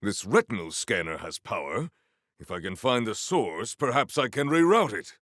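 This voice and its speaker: A man voicing a stoic, honourable warrior in a video game, speaking in formal, stately phrases with a gravelly voice. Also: on the low side, big pitch swings, fairly bright tone, no room sound, clean studio sound. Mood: pondering. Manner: formal, stately, measured diction.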